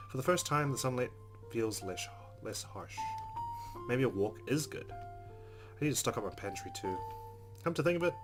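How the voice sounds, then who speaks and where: A man doing a let's play, reading a game's narration aloud in an expressive, measured, dramatic way. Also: lowish voice. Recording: game music under the voice.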